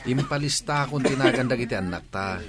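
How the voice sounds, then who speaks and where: A man's voice: mid-range voice, expressive, fast, natural voice. An actor playing a reassuring village husband and father in an Ilocano radio drama. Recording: busy crowd noise behind him.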